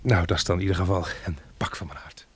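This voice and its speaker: An actor in a radio drama playing the sceptical, bewildered hero of a disaster story, hesitant and questioning. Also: male voice, sits low, fairly even intonation, quick pace, husky. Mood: pensive.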